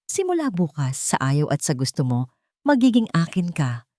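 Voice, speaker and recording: A woman's voice: sits low, very animated, moderate pace, mellow, no room sound, studio quality. A woman voicing a cold, ruthless male mafia boss in a dramatic audiobook, controlled and merciless. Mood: menacing, possessive.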